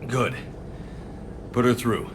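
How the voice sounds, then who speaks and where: An actor playing a steady, resolute male commander with a low, understated delivery. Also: takes it slowly, natural voice. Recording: game audio with clear background noise.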